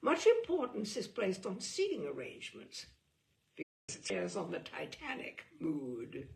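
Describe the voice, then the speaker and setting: A woman's voice: mid-range voice, huge pitch swings, a little slow, vocal fry. A woman giving an arch, theatrical, mock-serious reading of a humorous book. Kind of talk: theatrical reading aloud of comic prose.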